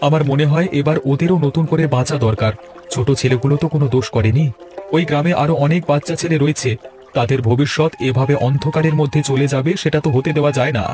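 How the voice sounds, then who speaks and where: A man's voice: on the high side, fast, music in the background, lightly acted. A man voicing a loyal, agreeable younger-brother trader in a cartoon, eager and supportive. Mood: supportive, sincere. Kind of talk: Bengali fairy-tale cartoon dialogue.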